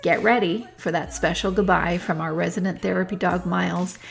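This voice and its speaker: A woman hosting a podcast interview, conversational and affirming, steering the talk with brisk wrap-ups. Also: lowish voice, fairly even intonation, vocal fry, soft background music. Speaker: podcast host.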